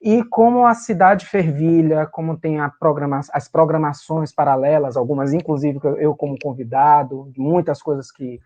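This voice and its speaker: A man from Salvador, a performer and writer, talking with emotion as a guest in an interview and often pausing with fillers to find his words. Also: a little high, steady tone, darkish tone. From Salvador, Brazil. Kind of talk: remote interview, reminiscing.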